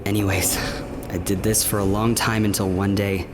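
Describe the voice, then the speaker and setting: A man's voice: on the low side, flat delivery, moderate pace, noisy background, lightly acted. An actor playing a haunted young man, telling his past in a hesitant, sombre way in a fantasy audio drama. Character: haunted young man recalling childhood enslavement.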